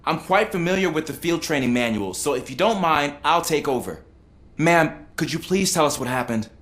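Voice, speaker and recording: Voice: medium pitch, lively intonation, moderate pace, a bit bright, natural voice. An actor playing an eager, chatty young rookie police officer in a video game, talking in a casual, conversational way. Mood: businesslike. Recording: noisy game ambience behind.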